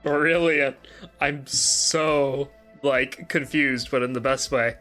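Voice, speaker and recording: A man's voice: mid-range voice, fairly even intonation, slow pace, pushed and bright, faint music behind. A man hosting a stream in casual chat. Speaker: stream host.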